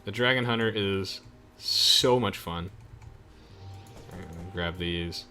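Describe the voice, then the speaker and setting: A man's voice: sits low, measured pace, bright, forceful tone, faint music behind. A young man giving casual, chatty gameplay commentary to friends, explaining things with hesitant trailing asides. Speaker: gamer hosting a let's play.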